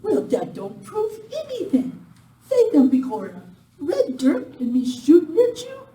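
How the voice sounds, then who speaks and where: Echoey live radio Western: sly, theatrical outlaw widow played by a woman, swooping high voice, folksy and indignant. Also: dark tone. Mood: feigned innocent indignation. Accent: put-on Irish brogue turning Texan. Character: sly Irish-posing widow, secret outlaw gang mastermind. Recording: echoey room, distant mic, faint noise.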